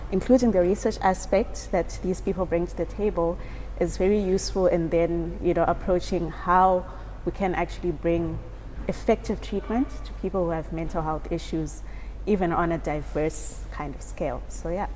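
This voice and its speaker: A woman's voice: fairly low pitch, mellow, a little hiss or hum, poor audio. A woman with a Zimbabwean accent giving an informal interview, speaking in a reflective, conversational way. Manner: reflective, conversational, often says "you know". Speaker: advisory board vice chair giving an interview.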